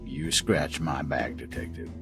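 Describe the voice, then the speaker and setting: A man's voice: very deep voice, unhurried. An actor playing a shifty, folksy man in a video game, with a hesitant, wheedling, informal way of talking. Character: shifty, folksy man hunting a runaway girl. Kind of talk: cinematic video game voice acting. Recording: music behind the voice.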